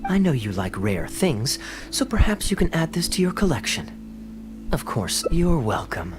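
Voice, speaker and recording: An actor playing a suave young male knight who talks in a smooth, playful, gently mocking way. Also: a little high, big pitch swings, normal speed. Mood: relaxed. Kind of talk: game voice acting. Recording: game audio with music behind.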